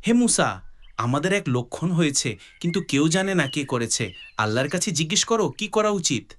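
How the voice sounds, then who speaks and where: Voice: medium pitch, sing-song, moderate pace, slight background noise, close to an everyday voice. A performer voicing a skeptical, demanding villager who keeps questioning in a cartoon religious story. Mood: troubled. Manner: insistent, questioning.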